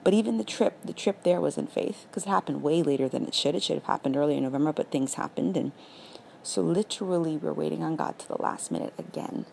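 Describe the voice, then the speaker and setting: A raspy young woman hosting a casual faith podcast, earnest and encouraging, full of "like" and "literally". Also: sits low, quick pace, dark tone, a little hiss or hum. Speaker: podcast host.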